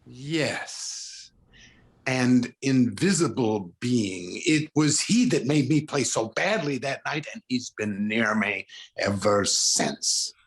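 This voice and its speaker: A male actor playing a tormented, unraveling concert pianist in a 1940s-style radio drama, stammering and halting in an overwrought way. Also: mid-range voice, expressive. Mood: haunted, confessional.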